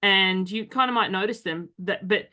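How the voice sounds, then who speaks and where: A woman hosting a podcast in casual conversation, chatty and animated, acting out people's reactions as she talks. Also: mid-range voice, moderate pace. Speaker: podcast host.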